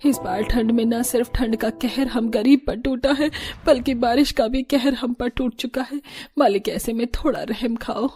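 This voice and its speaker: A woman's voice: a little high, moderate pace, dark tone, husky. A woman playing poor, caring family women in a Hindi cartoon story, earnest and pleading. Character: poor, pleading, caring mother-in-law and daughter-in-law.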